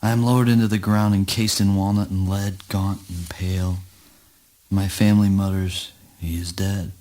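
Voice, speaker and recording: An actor playing a weary, morbid, dismissive father with deadpan theatrical delivery in a spoken word play. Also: deep voice, moderate pace, close to an everyday voice. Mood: bleak.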